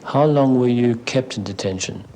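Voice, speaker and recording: A man's voice: medium pitch, moderate pace, mellow, slight background noise. A man working as a reporter and narrator, speaking formally in a documentary style.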